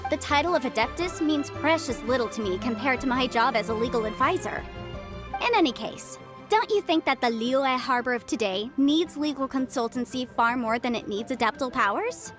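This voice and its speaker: A woman's voice: sits high, animated, moderate pace, music in the background. A woman playing a young, talkative legal advisor in a video game, articulate, self-assured and cheeky. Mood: confident, matter-of-fact. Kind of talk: video game character acting.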